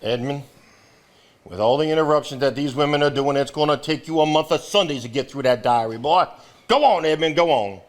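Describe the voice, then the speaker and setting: A man's voice: on the high side, a little fast, close to an everyday voice. A man acting in a live stage play as a folksy, exasperated 1950s small-town fellow, teasing and grumbling. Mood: mock indignant. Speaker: stage actor.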